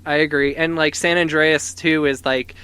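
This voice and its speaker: A man's voice: a little high, fairly even intonation, normal speed, background noise. A man of twenty-nine chatting casually and agreeably as a podcast co-host. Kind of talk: casual chat.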